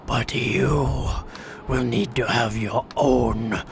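A man playing a sinister alien agent in a radio drama, persuading in a slow, breathy, menacing whisper. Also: medium pitch. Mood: sinister, coaxing. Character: sinister alien agent offering power and conquest. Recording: noisy ambient hiss.

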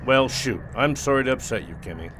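Clear noise sits behind a man acting as a folksy shopkeeper in a radio play. His mid-pitched voice is placating and sympathetic. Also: animated, a little fast, lightly acted. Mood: apologetic. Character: folksy, sympathetic male shop buyer named Owen. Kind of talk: audio drama acting.

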